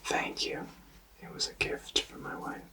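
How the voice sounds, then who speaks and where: Male tarot reader for whispered ASMR, gentle and courteous, slightly distant pickup. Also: unhurried. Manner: gentle, polite guiding.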